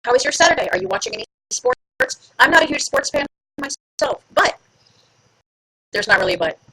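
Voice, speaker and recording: A woman's voice: high voice, big pitch swings, brisk, pushed and bright, poor audio. A woman hosting a live stream from home, chatting casually and playfully with her viewers and teasing herself. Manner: chatty, playful, self-mocking. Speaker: livestream host.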